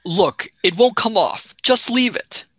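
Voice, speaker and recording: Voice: medium pitch, lively intonation, unhurried, a bit bright, husky, dry recording. Dry-recorded, wry young male adventurer, acted out. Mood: impatient. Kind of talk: radio play acting.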